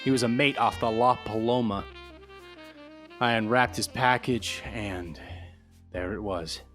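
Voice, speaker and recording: A man's voice: fairly low pitch, unhurried, a bit bright, soft background music. A man playing a hardboiled, wisecracking private detective in a 1940s-style radio noir, talking in a laconic, slangy way. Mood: world-weary, narrating. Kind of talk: 1940s radio noir acting, first-person narration.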